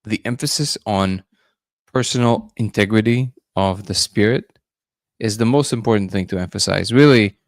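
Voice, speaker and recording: A man's voice: on the low side, normal speed, no room sound, studio quality. A man hosting a casual livestream, musing loosely and greeting viewers by name. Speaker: livestream host.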